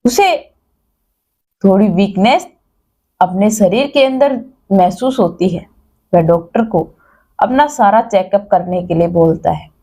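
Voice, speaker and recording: A woman's voice: fairly high pitch, warm tone. A woman narrating a scripted dramatic story as a storyteller.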